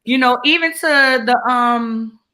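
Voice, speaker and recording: A woman in her forties hosting a casual chat show, animated and laughing often. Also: fairly high pitch, a little slow. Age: 40s. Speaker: podcast host.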